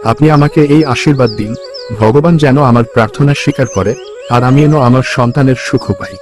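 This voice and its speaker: Guy acting a poor kindly village husband, earnest cartoon voice, mid pitch, faint music. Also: moderate pace, a little mellow, natural voice. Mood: wistful. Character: poor, kind, married village labourer, affectionate. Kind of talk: cartoon folk tale acting.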